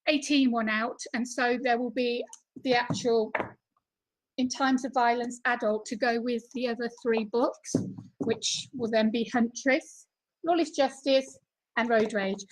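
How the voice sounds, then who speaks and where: British woman, author guest, high voice, chatty with fillers. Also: a bit bright, rough recording. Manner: chatty, laughs easily, many fillers. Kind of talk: casual interview over video call.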